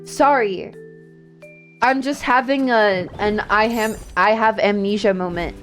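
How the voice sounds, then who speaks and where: A woman chats on a livestream as she plays a game. Her delivery is slow and rambling, with a self-deprecating, chatty manner. Her voice sits at a middling pitch, and music plays faintly underneath. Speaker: streamer, VTuber. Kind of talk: casual livestream chat while playing a video game.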